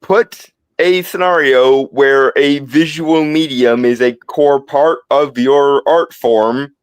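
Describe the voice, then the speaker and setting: A man's voice: mid-range voice, slow, smooth, no echo. Opinionated man, nearly thirty, dry sound. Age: late 20s. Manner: opinionated, nitpicky, emphatic. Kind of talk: casual chat.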